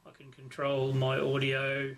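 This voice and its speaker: A man, an amateur radio operator giving a casual, halting, unscripted walkthrough on a live stream. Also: medium pitch, monotone, a crowd in the background. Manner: halting, unscripted, thinking aloud. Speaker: amateur radio operator presenting his station.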